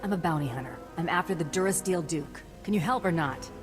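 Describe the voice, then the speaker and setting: A blunt, wry female bounty hunter from a video game, played by an actress. Her voice is fairly low and her delivery terse and sardonic, over noticeable background music. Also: fast, lightly acted. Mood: curt, blunt. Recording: prominent background music under the voice.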